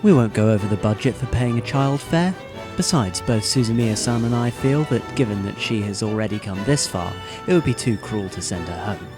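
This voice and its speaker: A man acts out a polite, smooth-talking teenage boy in an audio drama. His voice sits somewhat low. Music plays behind him. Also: expressive, a little fast, lightly acted.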